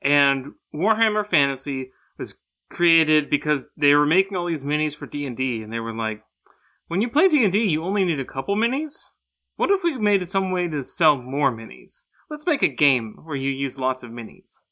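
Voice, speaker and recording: Bright, fairly high-voiced man chatting casually. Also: lively intonation, normal speed. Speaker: podcast co-host. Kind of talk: casual chat about a sci-fi book.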